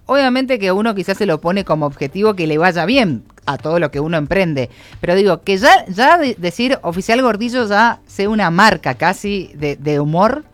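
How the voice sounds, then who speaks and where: A man's voice: high-pitched, a bit bright, dry recording. A man with an Argentine accent hosting a casual, chatty interview, asking informal and rambling questions. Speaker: interviewer, host.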